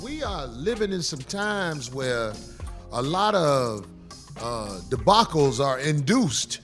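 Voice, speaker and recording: High, animated ranting man. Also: slow pace. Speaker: commentator in a played video. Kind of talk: opinion commentary. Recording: faint music under the voice.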